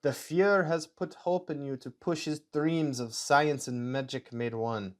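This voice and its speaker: Casting: man performing wartime Nazi officer, German accent, deliberate and formal, coldly menacing story villain. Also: medium pitch, steady tone, lightly acted. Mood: solemn approval. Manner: slow, deliberate, formal delivery.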